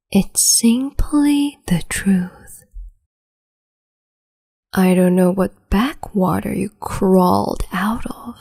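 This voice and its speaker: A woman voicing a cruel, capricious empress in an ASMR roleplay, whispering softly and condescendingly with sweet menace, close to the mic. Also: medium pitch, full character voice. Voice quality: breathy whisper.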